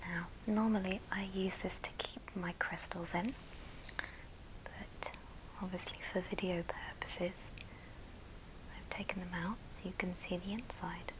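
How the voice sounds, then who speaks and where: A woman speaking in a breathy, unvoiced whisper, gently presenting items in an ASMR show and tell with pauses between short phrases. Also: on the low side, steady tone, background noise. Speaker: ASMR video host.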